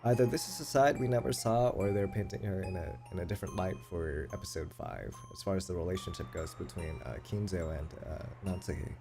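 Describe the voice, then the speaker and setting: A man's voice: sits low, a little fast, few pauses. A man streaming a game, reading a visual novel aloud and reacting casually. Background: music.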